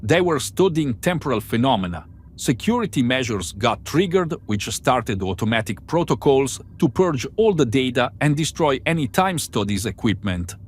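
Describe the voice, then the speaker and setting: A man's voice: medium pitch, sing-song, moderate pace, slight background noise, close to an everyday voice. A man playing an analytical starship crew member in an amateur sci-fi drama, explaining things precisely and methodically. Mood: explanatory.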